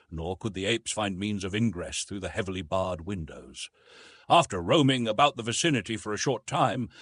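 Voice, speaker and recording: A man reads literary fiction as an audiobook narrator. His pitch moves widely and expressively through measured, emphatic phrases. The voice has a husky grain and sits in a dry recording with no room sound. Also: fairly bright tone, no echo.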